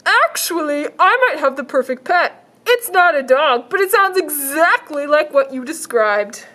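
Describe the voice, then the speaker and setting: A woman voicing an eager male animal shelter worker who pitches odd pets slowly and showily. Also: expressive, bright, forceful tone, full character voice. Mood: apologetic eager. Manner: slow, pleading, showy salesman pitch.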